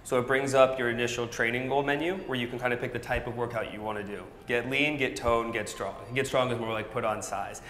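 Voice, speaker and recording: A man in his thirties demonstrating a fitness app, explaining clearly like a coach, in a large indoor gym. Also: medium pitch, steady tone, brisk, few pauses, fairly bright tone. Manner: fluent, instructive, coach-like. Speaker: startup product specialist. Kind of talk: product demo explanation. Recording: echoey gym room.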